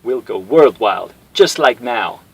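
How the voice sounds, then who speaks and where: A man's voice: medium pitch, animated, slow, vocal fry, slightly rough, full character voice. A man voicing a brash army colonel who barks orders and taunts his enemy. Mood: taunting. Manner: barking, taunting.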